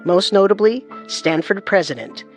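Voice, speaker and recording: Measured female podcast host. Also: medium pitch, lively intonation, faint music behind. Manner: clear, measured storytelling. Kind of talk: scripted narration.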